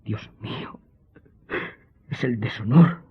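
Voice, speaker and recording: Man acting a very husky, anguished young nobleman. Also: mid-range voice, takes it slowly, muffled recording. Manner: emotional, formal old-fashioned address. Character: anguished young French nobleman shamed by his father's treason. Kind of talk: radio drama acting.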